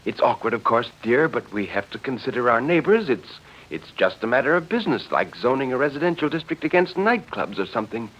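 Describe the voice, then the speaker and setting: Genteel coaxing wife, voiced by a man, lifted pitch. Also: very animated, brisk, a bit bright. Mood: defensive, coaxing. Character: poised suburban wife, genteel, coaxing, evasive. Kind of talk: 1940s radio drama acting. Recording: dull vintage 1940s radio recording.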